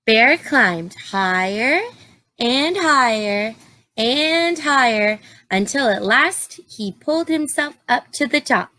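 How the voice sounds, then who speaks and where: A woman reads a picture book to young children in a slow, deliberate storytelling style. Her voice is bright and fairly high. She is expressive and breaks off to explain things and put simple questions to her listeners. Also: dry recording. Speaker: teacher reading aloud to young children.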